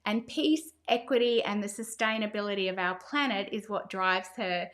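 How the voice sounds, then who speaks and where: A woman podcast co-host reads out a guest's introduction as a brisk list. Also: medium pitch, fairly bright tone.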